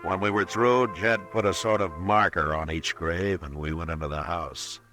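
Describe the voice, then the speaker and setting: An actor as a deep, steady frontier marshal. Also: male voice, moderate pace, close to an everyday voice. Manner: calm, steady, laconic. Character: steady male frontier marshal, calm and firm. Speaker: narrator and lead. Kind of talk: 1950s radio drama acting. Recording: old radio broadcast with music bed.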